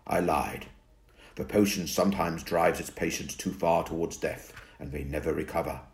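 A man voicing an ancient, weary male vampire mentor who talks slowly and formally. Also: rumbling low, fairly even intonation, a little mellow, slight background noise. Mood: dry. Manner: slow, formal, dry.

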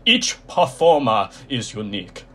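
A man voicing an ancient circus ringmaster, low and croaky, announcing the show in a menacing, theatrical way. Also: big pitch swings, a little slow, fairly bright tone, a little hiss or hum. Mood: ominous, grand.

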